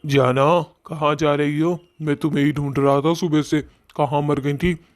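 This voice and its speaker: A man's voice: a little high, flat delivery, measured pace, no room sound, studio quality, close to an everyday voice. A man voicing a witch's clingy ex-boyfriend in a cartoon story, wheedling and insistent. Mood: wooing. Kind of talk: Hindi cartoon story voice acting.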